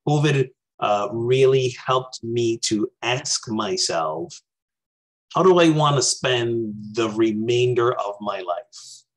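A man's voice: medium pitch, animated, takes it slowly, rough recording. A man in his forties from Barbados, giving an earnest, reflective talk over a video call from a small room. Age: 40s. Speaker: minister. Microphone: webcam on a video call.

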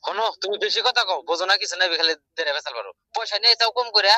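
Fast, sharp, high-voiced arguing shopkeeper man. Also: expressive, no room sound. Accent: rural Bangladeshi dialect. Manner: exasperated, argumentative. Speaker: shopkeeper on the phone. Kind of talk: argumentative phone conversation.

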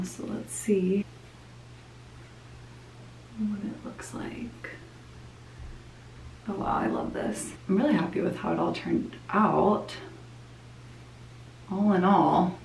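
A woman's voice: medium pitch, gravelly, reverberant, slight background noise, low-quality recording. A young woman in her twenties chatting casually to the camera in a vlog, full of "like" fillers, filmed at home and in her car. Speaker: vlogger. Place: small apartment bathroom and car.

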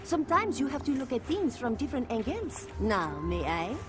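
Sultry manipulator for a video game: coy, smug, highish lilting voice over clear background music. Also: female voice, big pitch swings, normal speed. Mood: coaxing, smug. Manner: coy, condescending, seductive. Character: sultry, teasing, manipulative woman, assassin contest agent. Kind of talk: dramatic video game acting.

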